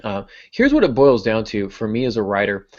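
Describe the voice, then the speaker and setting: A man's voice: lowish voice, big pitch swings, fairly quick, muffled recording. A man hosting a writing podcast in casual talk, with a self-deprecating, joking manner. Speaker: podcast host and fiction writer.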